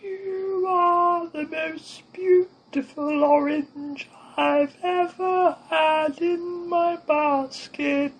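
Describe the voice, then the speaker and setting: A man voicing a doting, sugary woman who coos adoringly in drawn-out words. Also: extremely high pitch, fairly even intonation, voice pushed up, full character voice, low-quality recording.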